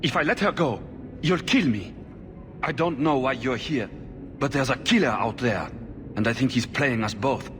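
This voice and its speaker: A man's voice: medium pitch, moderate pace, close to an everyday voice. A man acting a desperate, vengeful blood mage in a video game, intense, defensive and insistent. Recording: noisy, long-echoing hall.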